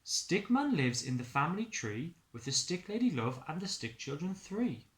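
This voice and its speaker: A man's voice: on the high side, very animated, moderate pace, fairly bright tone, echoey room. A man, an early years teacher, telling a picture-book story to young children expressively and explaining it simply.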